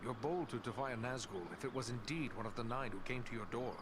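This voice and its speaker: An actor playing a steadfast, curious human ranger in a video game, speaking formally and earnestly. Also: male voice, medium pitch, fast, noisy background, lightly acted. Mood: curious, serious. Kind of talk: video game cutscene dialogue.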